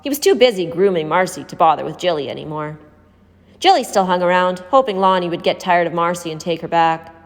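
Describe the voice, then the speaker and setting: A woman's voice: on the low side, fairly quick. A woman reading a scripted story aloud as an audiobook narrator. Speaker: audiobook reader. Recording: echoey hall-like reverb.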